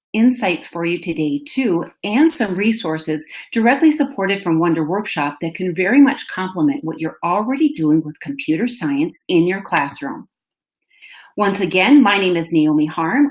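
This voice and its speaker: A woman with a Minnesota accent hosting an online education webinar, upbeat and effusive, speaking over a video call. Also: on the low side. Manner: upbeat, effusive, addresses audience directly. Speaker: webinar host. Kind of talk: enthusiastic webinar presenting. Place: indoor room, webcam.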